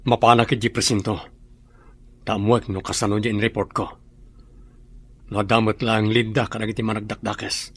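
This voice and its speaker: A man's voice: lowish voice, level tone, moderate pace, long pauses, fairly bright tone, husky. A man with a Filipino accent acting as a sober male boss in an Ilocano radio drama. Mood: concerned, sober.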